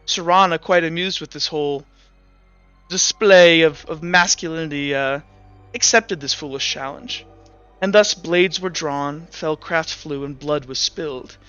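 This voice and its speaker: Bright-voiced man narrating game lore. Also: high voice, clear, resonant tone. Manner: storytelling with frequent restarts and fillers. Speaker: game master. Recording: dull lo-fi sound with faint music.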